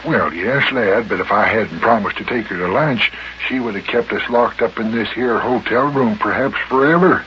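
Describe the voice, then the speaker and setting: An actor playing a folksy, flattering older mayor who stammers and cajoles in a 1950s children's radio serial. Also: male voice, fairly low pitch, close to an everyday voice. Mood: pleading. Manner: halting, stammering, cajoling, chuckling. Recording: dull, noisy old radio recording.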